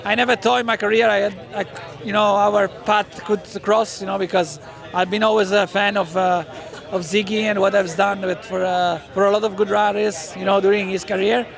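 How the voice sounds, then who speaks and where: A man racer giving a press day interview outdoors, speaking haltingly and searching for words. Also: high voice, flat delivery, creaky, fairly bright tone. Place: outdoors at a race track. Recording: outdoor crowd noise.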